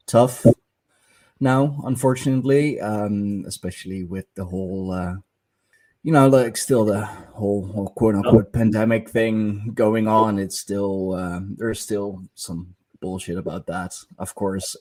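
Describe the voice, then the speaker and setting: Halting man hosting a podcast. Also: on the low side, mellow, rough recording. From Netherlands. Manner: halting, searching for words. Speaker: podcast host. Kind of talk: casual interview chat.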